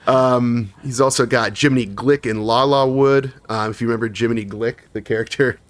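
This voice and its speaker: A man's voice: mid-range voice, steady tone, fairly quick, dry recording. A man co-hosting a podcast in casual chat, reading long lists of credits with frequent laughs and fillers. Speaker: podcast co-host.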